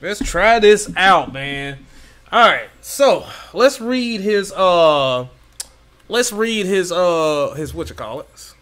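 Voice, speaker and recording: A young man in a home room giving casual, excited reaction commentary on a game, repeating phrases and stumbling over names. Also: sits high, slow pace. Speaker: gaming reaction YouTuber. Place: home room with gaming chair.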